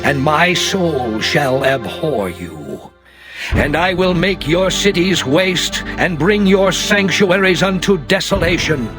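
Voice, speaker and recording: A man's voice: a little high, animated, slow. A man playing God as a stern, solemn deity in a dramatized King James scripture reading, declaiming in archaic diction. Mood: grave, condemning. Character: God, stern male deity pronouncing curses and covenant. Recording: music behind the voice. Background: clear background music.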